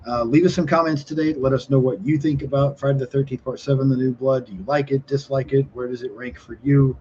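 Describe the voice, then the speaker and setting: Relaxed male horror podcast host. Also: mid-range voice, fast talker. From Oklahoma. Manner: relaxed, frequent fillers, laughs often. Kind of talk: casual chat. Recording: dull lo-fi sound, faint noise.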